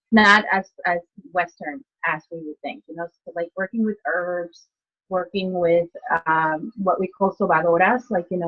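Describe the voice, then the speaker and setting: Low-voiced woman from Ecuador, muffled call audio. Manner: chatty, many "you know" and "like". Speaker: guest, nurse and healer. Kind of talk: conversational storytelling.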